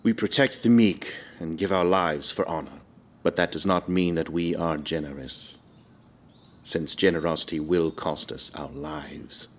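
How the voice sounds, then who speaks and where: An actor playing a stern, unforgiving fighter pilot with a knightly code, speaking deliberately in an interview. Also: male voice, low voice, a little hiss or hum. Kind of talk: dramatic interview monologue.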